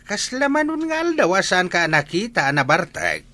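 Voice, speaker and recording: A man's voice: high voice, sing-song, normal speed, pushed and bright, exaggerated voice. A man playing a cranky, mocking old father in a radio drama, scolding with an Ilocano accent. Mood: grumbling. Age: older. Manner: scolding, exclamatory.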